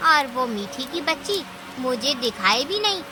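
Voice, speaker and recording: A woman's voice: sits high, sing-song, a little slow, background noise. A woman playing a greedy, scheming, easily panicked aunt animal in a cartoon story, with theatrical scolding exclamations. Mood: greedy, gloating. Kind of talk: Hindi cartoon story acting.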